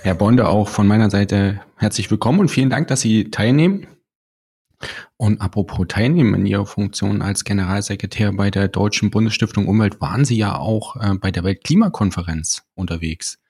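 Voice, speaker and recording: A man with a somewhat low, husky voice co-hosts a podcast. He speaks politely and formally. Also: a little fast, mellow. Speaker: podcast co-host. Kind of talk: podcast hosting.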